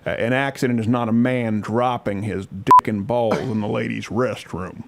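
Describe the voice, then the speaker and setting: A man's voice: on the low side, fairly even intonation, normal speed, creaky, heavy background noise. A man playing an indignant father on a prank complaint call, pompous and wordy, with mock-formal sarcasm. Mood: condescending, sarcastic.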